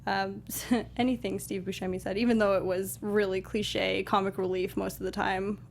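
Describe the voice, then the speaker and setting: A woman's voice: medium pitch, little pitch movement, normal speed, gravelly, slight background noise. A woman co-hosting a movie discussion show, chatting casually and giving her opinions in a conversational style.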